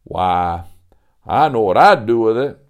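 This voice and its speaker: A man's voice: on the low side, animated, unhurried. A man voicing Sebastian, a folksy, hearty older drifter who drawls and opens his lines with "why".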